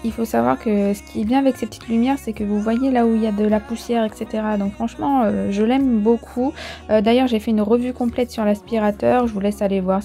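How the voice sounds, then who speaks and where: A woman giving a chatty, step-by-step explanatory voice-over in a casual household vlog style. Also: on the high side. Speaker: vlogger, host. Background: soft background music.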